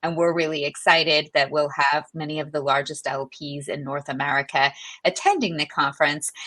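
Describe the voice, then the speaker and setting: A woman's voice: deep voice, a bit bright. A woman interviewing in a prepared, businesslike style, like a publishing executive hosting a corporate video.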